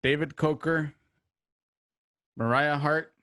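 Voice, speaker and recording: A man's voice: a little high, fairly even intonation, slow pace, a bit bright, no room sound. Man hosting with theatrical flair, dry sound. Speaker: host of a radio drama show. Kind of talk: show introduction with joking banter.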